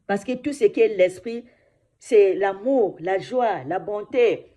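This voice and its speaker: A woman preaching an informal sermon, often adding "hein" and addressing her brothers and sisters, slowing down to read scripture; French is not her mother tongue. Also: mid-range voice, mellow. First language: another mother tongue. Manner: repeats "mes frères et sœurs" and "hein" often; slows down for scripture reading.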